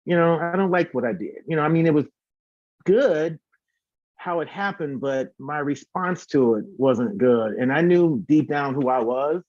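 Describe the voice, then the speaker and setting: A man's voice: a little high, expressive, moderate pace, darkish tone, no room sound, dull audio. A man telling stories casually as an interview guest, animatedly acting out the dialogue he quotes. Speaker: singer and former radio host. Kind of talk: casual storytelling.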